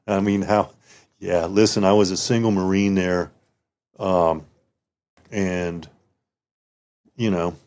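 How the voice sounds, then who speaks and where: A man's voice: low-pitched, fairly even intonation, takes it slowly, pauses often, darkish tone. A man in his fifties, a native German speaker, reminiscing as a retired military guest in a rambling way with many restarts. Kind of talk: casual interview reminiscing.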